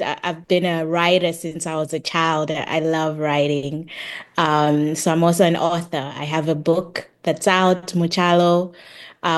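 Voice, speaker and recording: A middle-aged woman from Zambia with a fairly low voice speaks as an interview guest. She explains herself in a reflective, conversational way, feeling for her words as she goes. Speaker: podcast guest, tech project manager and author.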